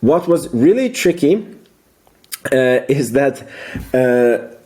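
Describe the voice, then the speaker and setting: Mellow-voiced man giving a casual recap. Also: mid-range voice, animated, takes it slowly. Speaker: video host, amateur investor commentator. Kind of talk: casual earnings call recap commentary.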